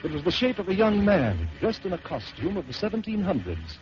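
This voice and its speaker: Man, radio horror narrator, lively dramatic delivery, fairly high voice, muffled old recording with hiss. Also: fairly quick. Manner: dramatic, tense first-person telling with swells and hushed drops.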